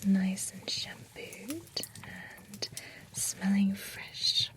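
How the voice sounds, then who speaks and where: A woman's voice: medium pitch, extremely slow. Woman whispering as a gossipy vampire groomer. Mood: whispery, reassuring. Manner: soft whispering, gossipy and reassuring. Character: chatty female vampire groomer at a spa. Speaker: ASMR roleplay performer. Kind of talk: whispered ASMR roleplay.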